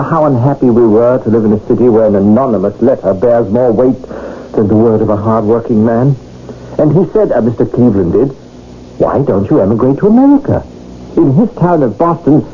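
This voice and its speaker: An actor playing an earnest, mild London shopkeeper husband in a radio drama, hesitant and pleading, with stammers. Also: male voice, medium pitch, narrow, tinny audio, natural voice. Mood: eager, hopeful. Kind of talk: 1970s radio drama acting. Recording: thin, noisy old radio broadcast.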